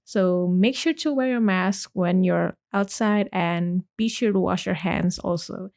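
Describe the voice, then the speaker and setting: A woman's voice: lowish voice, a little slow, creaky, darkish tone. Casting: young woman from Indonesia, podcast host, chatty and rambling, casual solo talk. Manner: chatty, rambling, with many likes and restarts.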